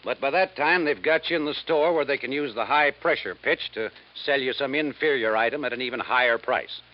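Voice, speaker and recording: An actor playing a brisk, matter-of-fact insurance man briefing an investigator in a 1950s radio drama. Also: medium pitch, close to an everyday voice. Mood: wry, explanatory. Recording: dull 1950s radio recording.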